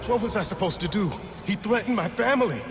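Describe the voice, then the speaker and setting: An actor playing a frightened, corrupt prison guard in a video game, pleading and stammering. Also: male voice, high voice, animated, quick pace, roomy sound, big-room sound, music in the background. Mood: anxious.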